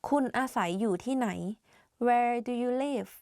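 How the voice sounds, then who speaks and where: Lady reading out the lesson questions, clear and measured, kinda high, dry. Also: darkish tone, no room sound. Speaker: co-presenter reading example phrases. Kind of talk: scripted phrase reading.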